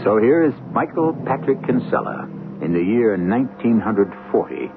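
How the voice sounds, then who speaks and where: An actor as a grave host narrating radio horror in a dramatic, measured storytelling manner. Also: male voice, lowish voice. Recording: narrow-band old radio, music behind.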